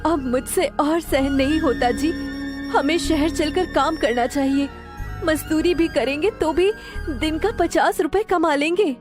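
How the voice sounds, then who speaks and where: Woman acting a high-pitched, plaintive village wife. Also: measured pace, music in the background. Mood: pleading complaint. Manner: plaintive, complaining. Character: weary, nagging poor farmer's wife, worried and reproachful.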